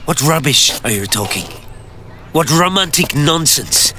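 A man's voice: fairly high pitch, animated, measured pace, pushed and bright, a little hiss or hum. An actor playing a bitter, scornful injured wartime airman in an audio drama. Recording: music behind the voice.

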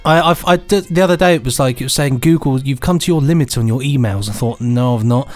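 A British man co-hosting a podcast in casual, rambling comic banter with a deadpan, mock-indignant manner. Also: mid-range voice, fast, soft background music. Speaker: podcast co-host.

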